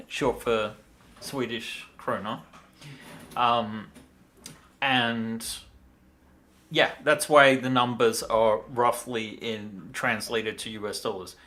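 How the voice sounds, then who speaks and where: A man's voice: mid-range voice, steady tone, slow, long pauses, bright, forceful tone. A tired man talking casually and in a rambling way as he hosts a livestream demo. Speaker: livestream host, miniature designer.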